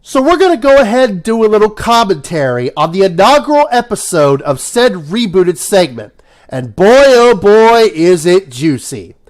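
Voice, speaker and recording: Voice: medium pitch, sing-song, normal speed. A YouTube commentator with a congested, nasal voice from a cold, delivering scripted, sarcastic, profane commentary. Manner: sarcastic, profane, theatrical emphasis.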